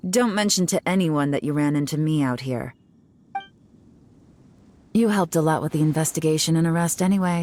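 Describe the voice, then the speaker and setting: A cool, low-toned investigator. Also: female voice, darkish tone. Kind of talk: video game voice acting.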